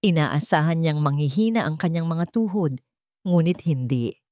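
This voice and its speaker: A woman with a Filipino accent reading a dramatic story as narrator, with deliberate, suspenseful phrasing. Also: sits low, lively intonation, mellow, no echo, studio quality.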